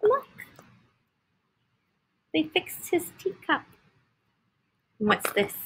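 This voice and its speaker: A woman in her thirties reading a picture book aloud to young children, expressively, pausing to point out pictures with simple comments. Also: very high-pitched, very animated, a bit bright. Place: indoor school classroom. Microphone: laptop on a video call.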